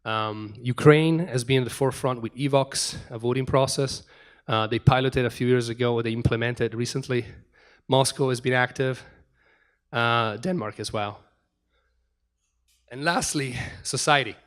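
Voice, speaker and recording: A middle-aged man speaks to a conference audience in a room. He races through his points, often restarting and repeating words as he goes. His pitch sits at an ordinary middle level for a man. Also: moderate pace. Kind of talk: conference talk.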